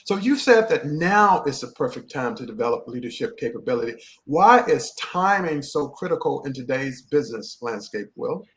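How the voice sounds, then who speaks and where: A man's voice: medium pitch, sing-song, normal speed, hardly any pauses, fairly bright tone. A man hosting a live-streamed interview podcast, enthusiastic and repeating words for emphasis. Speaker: podcast host.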